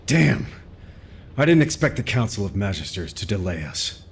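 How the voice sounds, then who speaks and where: An actor playing a calm, supportive male commander giving orders and praise. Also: fairly low pitch, normal speed, vocal fry, soft background music. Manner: calm and encouraging. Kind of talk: game cutscene voice acting.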